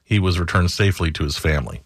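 Low-voiced American man reading news. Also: fast talker, no room sound, studio quality. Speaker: radio news anchor. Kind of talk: scripted news headline reading.

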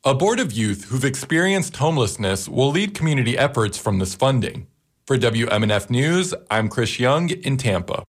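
A man filing a scripted radio news report in a lively, varied delivery. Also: mid-range voice, moderate pace.

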